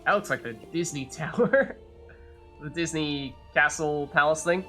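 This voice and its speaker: Guy streaming a game, higher bright voice, musing out loud, music behind him. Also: measured pace. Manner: chatty, thinking aloud, musing. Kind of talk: casual live let's play commentary.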